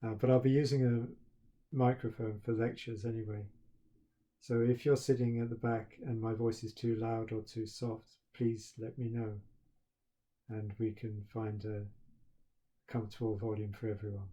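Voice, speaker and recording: Soft-voiced man, very dark and flat. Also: lowish voice. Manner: halting, many fillers and restarts. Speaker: university professor. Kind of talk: addressing students at start of term.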